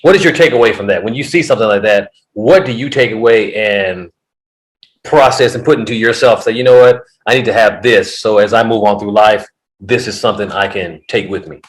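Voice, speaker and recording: A man's voice: on the low side, brisk, poor audio. A man in his late forties hosting a podcast as a coach, talking animatedly and often restarting his sentences. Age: 40s. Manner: animated, repeats words when starting sentences. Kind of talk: conversational interview.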